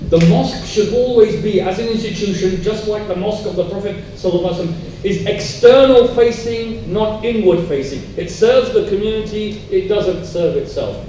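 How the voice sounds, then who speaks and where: Guy on a panel, highish mellow voice, stresses his points by repeating them, sounds far off. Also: fairly quick, few pauses. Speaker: panel speaker. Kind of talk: answering at a public panel discussion. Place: public event hall. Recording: very echoey hall, distant mic, faint noise.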